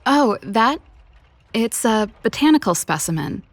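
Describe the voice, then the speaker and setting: A woman voicing a playful, teasing young big-sister inventor and musician in a video game, casual and expressive. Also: a little high, unhurried, full character voice. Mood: playful, coaxing.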